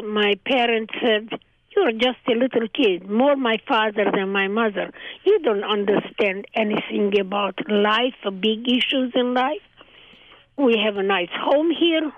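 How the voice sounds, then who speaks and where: A woman's voice: a little high, no echo. Older woman telling her story over the phone. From Transylvania. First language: Hungarian. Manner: measured storytelling, short spoken phrases. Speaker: guest. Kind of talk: oral history interview. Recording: dry tinny phone line.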